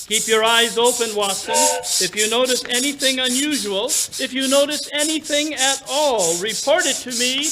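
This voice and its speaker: An actor playing a brisk, imperious detective in an old-time radio drama, commanding and self-assured. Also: male voice, very high-pitched, pushed and bright, rough recording. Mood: urgent.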